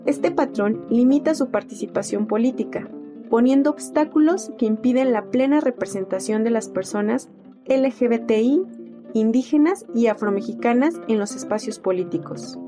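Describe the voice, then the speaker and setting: A woman with a fairly high voice reads a formal informational script. Her delivery is clear and deliberate. Plucked guitar music plays clearly underneath. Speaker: narrator.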